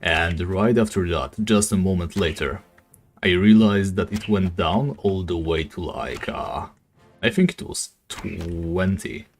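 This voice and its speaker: Male streamer, non-native English speaker, very low raspy voice, easygoing rambling talk, faint music underneath. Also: unhurried. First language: not English. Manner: relaxed, rambling, many fillers. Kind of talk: casual live-stream chat while gaming.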